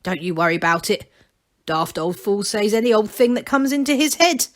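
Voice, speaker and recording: A woman's voice: mid-range voice, lively intonation, normal speed, close to an everyday voice. Woman playing a stern, blunt old gnome granny. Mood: dismissive. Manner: curt, dismissive, folksy grammar.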